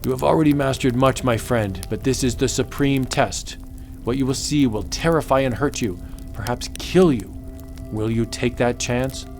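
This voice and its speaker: A man's voice: medium pitch, normal speed, soft background music, natural voice. A man voicing a proud, mighty witch doctor with stilted, declamatory ritual speech in a comic book audiobook. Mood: grave, approving.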